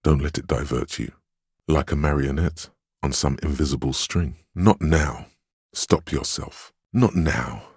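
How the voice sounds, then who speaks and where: An actor playing a stern, steadfast inner voice of willpower in a video game, giving measured, solemn counsel and urging composure. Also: male voice, rumbling low, a little mellow, slightly rough, no echo, studio quality, full character voice. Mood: firm, urging. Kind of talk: dramatic video game voice acting.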